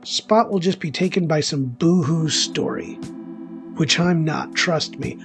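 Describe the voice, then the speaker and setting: An actor playing a bitter, self-deprecating loner in a conversational dramatic monologue, rambling through defensive and resentful asides in a gravelly voice. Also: male voice, a little high, a little slow, over music. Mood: defensive, aggrieved.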